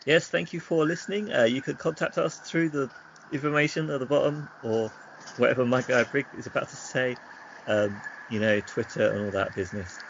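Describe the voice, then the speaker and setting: A man's voice: mid-range voice, fairly even intonation, a little fast, vocal fry. A man co-hosting a podcast, chatting casually about games in a hesitant, rambling way. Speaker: podcast co-host. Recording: dull lo-fi sound with faint noise.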